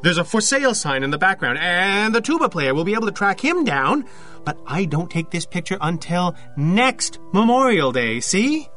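An actor playing an anxious, obsessive loner inventor in an audio drama, speaking in a halting, self-doubting, agitated way. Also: male voice, high voice, big pitch swings, pushed and bright, faint music behind, full character voice. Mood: intense, insistent. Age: 50s.

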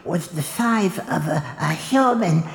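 A woman playing a nervous, servile villain's minion in an audio fairytale, stammering and halting. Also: fairly low pitch, animated, vocal fry, heavily acted. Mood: nervous, eager. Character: nervous, cringing fairy minion Babek, reporting to mistress. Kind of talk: audio theatre fairytale acting. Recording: roomy, echoing reverb.

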